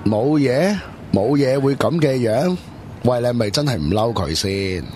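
A man's voice: medium pitch, animated, a little slow, a little mellow, a little hiss or hum, close to an everyday voice. A man with a Hong Kong Cantonese accent, playing a blunt, mocking young man in a radio drama. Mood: scornful. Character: sarcastic young man teasing friends.

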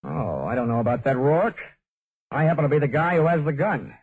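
A man's voice: on the high side, a little fast, a little mellow, breathy edge, no room sound, poor audio. An American man acting a wry, hard-boiled insurance investigator in an old-time radio detective drama. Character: wisecracking, tough male insurance investigator. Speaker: lead actor and narrator. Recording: old radio broadcast with music.

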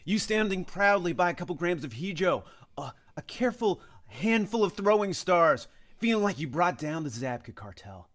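Gruff, scornful veteran cop played by a man, highish voice. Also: sing-song, moderate pace, fairly bright tone. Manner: gruff, lecturing, emphatic. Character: hard-boiled, scornful veteran 80s street cop. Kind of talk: action comedy table read. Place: indoors on a group video call.

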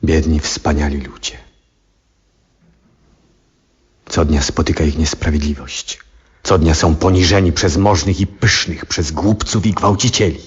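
An actor playing an aging, troubled, devout astronomer in a 1970s radio drama, speaking in a solemn, reflective and husky way. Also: male voice, very deep voice, fairly even intonation, full character voice. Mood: sorrowful, brooding. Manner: solemn, reflective, theatrical, deliberate phrasing. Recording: dull vintage radio recording.

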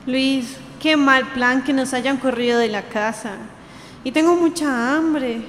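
A woman's voice: high-pitched, slow pace, fairly bright tone, a little hiss or hum. A woman playing an anxious, hungry poor girl in a radio drama. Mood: anxious, pleading. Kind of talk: student radio drama reading. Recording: echoey hall-like room, music behind.

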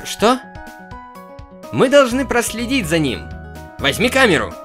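A man's voice: high-pitched, huge pitch swings, unhurried, a bit bright, faint music behind, voice pushed up, heavily acted. A man voicing a bossy, perky girl from a visual novel, insistent and enthusiastic. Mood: urgent. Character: bossy, perky girl filming forest adventures.

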